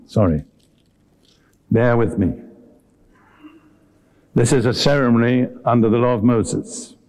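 A man preaching a sermon to a congregation, speaking earnestly and deliberately and addressing his listeners directly. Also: medium pitch, darkish tone, low-quality recording. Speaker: visiting preacher. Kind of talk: sermon with Bible teaching and altar call. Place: live before a congregation at a meeting.

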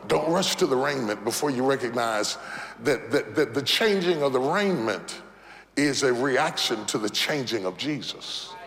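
A man in his fifties preaching an impassioned sermon in a church, repeating phrases and building to shouted peaks. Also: medium pitch, expressive, moderate pace. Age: 50s. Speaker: pastor. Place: church sanctuary. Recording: long reverb of a large hall.

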